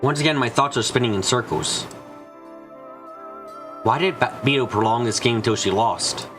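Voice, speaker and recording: A man's voice: mid-range voice, normal speed, music in the background, close to an everyday voice. A man voicing a brooding young woman who reasons through witches' magic in an introspective visual novel monologue. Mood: pondering.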